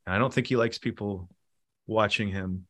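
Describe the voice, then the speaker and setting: A middle-aged man talking casually in an interview, relaxed and rambling, with many fillers and restarts. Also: on the low side, normal speed. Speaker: musician and producer guest.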